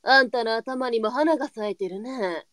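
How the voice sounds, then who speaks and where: A woman's voice: fairly high pitch, normal speed, fairly bright tone, dry recording, clean studio sound, close to an everyday voice. A woman playing a stern, nagging brothel madam with a motherly streak, speaking bluntly in old-fashioned scolding phrases. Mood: dry, exasperated.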